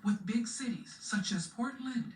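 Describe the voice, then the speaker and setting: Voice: medium pitch, unhurried, a little mellow, close to an everyday voice. Performer as government official, formal scripted briefing, echoing, faint noise behind. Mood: formal, urgent. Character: formal government official reporting disaster to a president. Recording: echoey, distant, faintly noisy playback.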